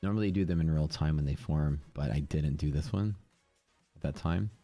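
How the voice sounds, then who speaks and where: A man's voice: rumbling low, a little fast, warm tone, dry recording, faint music behind. A man from Texas with a Texan accent, chatting casually while commenting on trades during a live stream. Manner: rambling, chatty, drawling. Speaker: day trading streamer.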